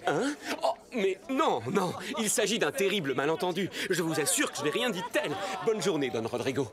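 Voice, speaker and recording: A man voicing a carefree, foppish young gentleman in an animated cartoon, meek and easygoing, with a hesitant, affably flustered way of talking. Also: sits high, fairly quick, a crowd in the background. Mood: polite, bewildered. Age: young adult. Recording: loud music under the voice.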